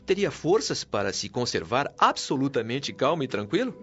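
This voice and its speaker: A male actor voices a wise Brazilian spirit mentor in a radio play. He teaches patiently and formally, with a very mobile, mid-pitched voice. The sound is a little dull, with music playing underneath. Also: big pitch swings, moderate pace, a bit bright, breathy edge. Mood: earnest. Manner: didactic, earnest, formal. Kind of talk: radio drama acting.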